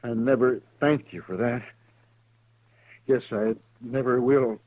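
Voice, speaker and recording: A dying frontier lawman in an old radio play. His speech is slow and faint, dry and close, and a bit dull in tone. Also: medium pitch, fairly even intonation, no room sound. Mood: fading. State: dying, weak. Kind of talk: 1950s radio drama acting. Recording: dry, muffled vintage radio recording.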